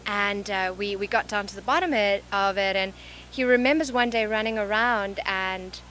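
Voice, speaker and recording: A woman with a South African accent touched by English, a life coach speaking earnestly and softly in an interview, often repeating phrases. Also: medium pitch, moderate pace, a little hiss or hum, rough recording. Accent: South African with some English. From born in Zimbabwe, raised in South Africa. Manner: earnest, soft-spoken, repeats phrases for emphasis. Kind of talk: reflective interview talk. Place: large event venue.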